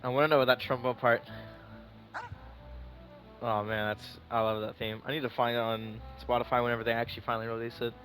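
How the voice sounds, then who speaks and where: A man of average pitch streams a game with casual, rambling commentary. He talks loosely and swears freely. Faint music plays behind his voice. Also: flat delivery, fairly quick, fairly bright tone, poor audio.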